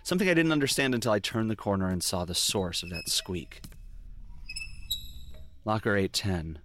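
A man's voice: on the low side, expressive, moderate pace, a bit bright. A man playing a brooding police detective, narrating a noir audio drama in a wry, confessional way. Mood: brooding, regretful. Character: brooding male police detective recalling his past mistakes. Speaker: lead character and narrator.

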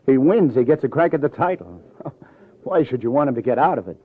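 An actor playing a hard-boiled, persistent police detective in 1950s radio crime drama, with dry, understated, probing questions. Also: male voice, mid-range voice, big pitch swings, fast talker, husky. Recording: muffled vintage radio recording, narrow band.

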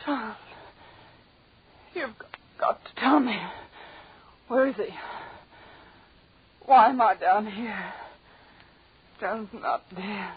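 An actress playing a frantic, terrified captive wife, distraught and near tears, in a 1930s radio horror melodrama. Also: female voice, medium pitch, a little hiss or hum. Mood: frantic pleading. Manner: melodramatic, drawn-out, anguished. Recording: muffled 1930s radio recording.

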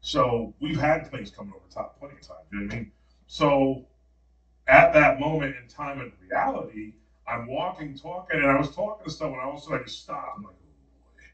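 A man, co-hosting a podcast and telling stories in a lively way, acting out what people said. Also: medium pitch, brisk, muffled recording. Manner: animated storyteller acting out quotes. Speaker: podcast co-host. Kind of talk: casual storytelling chat. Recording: roomy, slightly distant mic.

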